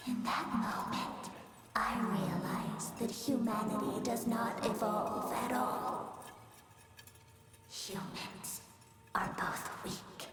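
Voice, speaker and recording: Echoing, slow, brooding villainess. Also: female voice, on the high side, level tone, fairly bright tone. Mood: bitter, brooding. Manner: measured, breathy, ominous. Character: cold, bitter, brooding female villain. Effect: heavy reverb. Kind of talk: dramatic villain monologue in a video game. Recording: distant, cavernous echo with music.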